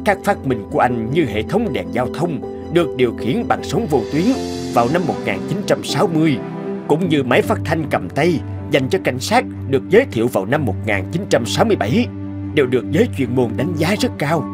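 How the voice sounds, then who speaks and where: A man playing a kindly older company chairman in a cartoon, measured and encouraging. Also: medium pitch, sing-song, lightly acted. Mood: admiring. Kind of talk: Vietnamese cartoon dub acting. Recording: music under the dialogue.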